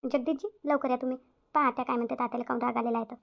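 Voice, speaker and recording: A woman's voice: fairly high pitch, fairly even intonation, racing, gravelly. A woman voicing an anxious, polite young newlywed bride in a cartoon family drama, with deferential questions and a Vidarbha Marathi accent. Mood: hesitant, concerned. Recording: dull lo-fi audio.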